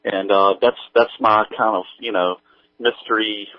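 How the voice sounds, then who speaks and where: Guy guest on a tinny phone-like line, lowish voice, talks casual and halting. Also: fairly even intonation, no echo. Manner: halting, hesitant, informal. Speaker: interview guest, writer. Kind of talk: casual interview chat.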